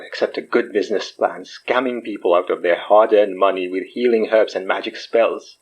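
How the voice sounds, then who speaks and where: Husky, wry man. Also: lowish voice, dull audio. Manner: wry, joking, rambling. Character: wry, self-deprecating man, recently freed from possession, fake guru healer. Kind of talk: naturalistic audio drama acting.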